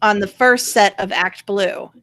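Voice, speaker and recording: A woman's voice: medium pitch, a little slow, fairly bright tone, no echo. Blunt, sarcastic woman in dry, close sound. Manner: blunt, sarcastic, mildly profane. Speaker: talk show host. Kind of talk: casual political rant.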